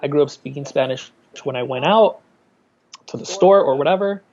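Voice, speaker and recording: A talkative man from Miami, speaking a little higher than most men, loose and candid, circling back on his phrases as he goes. The recording sounds a bit dull and lo-fi. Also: normal speed, darkish tone. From Miami, Florida. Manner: chatty, candid, restarts and laughs often. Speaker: artist, guest. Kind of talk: conversational interview.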